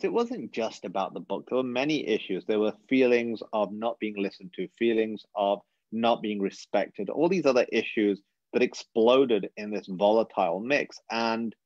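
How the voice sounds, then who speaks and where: A man's voice: lowish voice, a little mellow, no echo, muffled recording. A British man in his thirties, speaking earnestly and reflectively as a guest speaker on a webinar, often restarting his sentences. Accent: British English. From England. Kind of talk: webinar talk.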